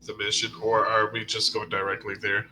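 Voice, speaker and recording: Congested man with a bright, sharp tone. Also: fairly low pitch, completely flat delivery, normal speed, a little hiss or hum, rough recording. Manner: short, laid-back replies. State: congested, sick. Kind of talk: casual gaming chat.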